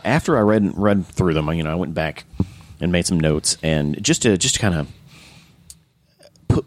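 A man hosting a casual podcast chat, enthusiastic and rambling, with frequent restarts and a slightly hoarse voice. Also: low voice, no room sound. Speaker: podcast host.

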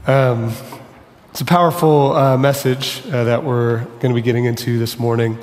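A man preaching in a loose, conversational way, full of little restarts and fillers, his voice worn and slightly hoarse. His words carry with a long reverb through a church hall. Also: medium pitch, level tone, normal speed, a little mellow. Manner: casual, self-deprecating, with fillers and restarts. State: losing his voice. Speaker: pastor. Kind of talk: sermon. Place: church sanctuary.

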